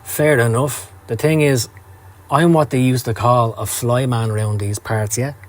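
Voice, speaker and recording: Man acting a slow, sardonic Scottish occult hustler. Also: medium pitch, fairly even intonation, close to an everyday voice. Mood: cocky, weary. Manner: sardonic, streetwise, foul-mouthed. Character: cynical, down-at-heel male occult hustler narrating his story. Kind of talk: dramatic first-person comic narration. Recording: music under the voice. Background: music.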